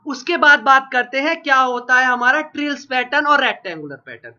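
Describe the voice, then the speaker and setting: A man's voice: very high voice, animated, fairly quick, bright, forceful tone. Man teaching with a sore throat. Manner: drills points by asking and repeating answers. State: sore throat from a cold, coughing. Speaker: geography teacher. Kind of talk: online exam-prep lecture. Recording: lo-fi dull recording.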